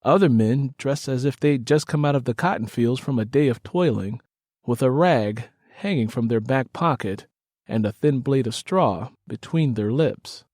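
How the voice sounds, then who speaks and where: A man's voice: medium pitch, moderate pace, a little mellow, no echo, clean studio sound. A man reading a scripted story as an audiobook narrator, in a dramatic storytelling style.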